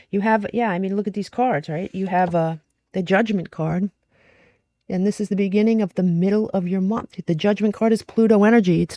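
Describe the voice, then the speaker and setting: Female tarot host, mellow tone, close dry sound, informal and blunt delivery with frequent check-ins. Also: mid-range voice, no room sound. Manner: direct, informal, mildly salty, frequent check-in questions. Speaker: tarot reader and astrology host. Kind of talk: casual conversational tarot reading.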